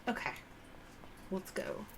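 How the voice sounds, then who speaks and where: A woman casually livestreaming a game, playfully murmuring remarks to herself as she plays. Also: slow. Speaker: game streamer. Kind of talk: casual livestream play, reading dialogue aloud.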